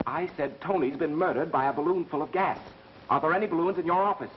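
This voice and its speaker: An American actor playing a brisk, bossy amateur sleuth with a wry edge, in 1930s film style. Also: male voice, on the high side, close to an everyday voice. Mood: urgent. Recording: dull, noisy vintage film soundtrack.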